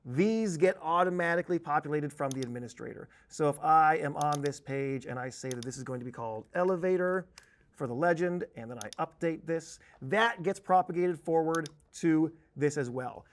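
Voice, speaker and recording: A man working as a software trainer, talking through an instructional demonstration in an informal, explanatory way with playful asides. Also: fairly high pitch, normal speed. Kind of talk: conversational instructional screen-share tutorial.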